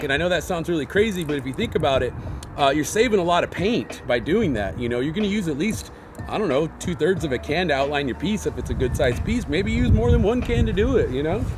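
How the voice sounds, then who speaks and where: Hoarse, laid-back graffiti guy. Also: on the high side, lively intonation, fast, noisy background. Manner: laid-back, rambling, slangy. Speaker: graffiti artist and channel host. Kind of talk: casual how-to chat while painting. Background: hip hop backing beats.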